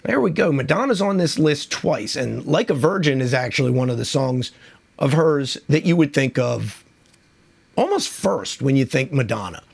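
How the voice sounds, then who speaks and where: A man's voice: medium pitch, animated, normal speed, vocal fry, slightly rough. A man who is losing his voice from a cold, hosting a casual music countdown in a chatty, enthusiastic, rambling way. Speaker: music video host.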